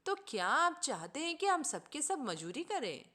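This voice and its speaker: A woman's voice: sits high, big pitch swings, moderate pace, sharp tone, voice pushed up, full character voice. Woman reading as a sceptical, educated doctor. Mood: patient, questioning. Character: educated male doctor, patient, politely sceptical debater.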